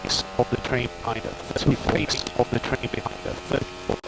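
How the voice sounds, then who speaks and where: A man's voice: mid-range voice, fairly even intonation, a little fast, vocal fry. A man working as a race engineer, giving terse, matter-of-fact technical updates in clipped phrases over team radio. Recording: noisy radio link with mechanical hum.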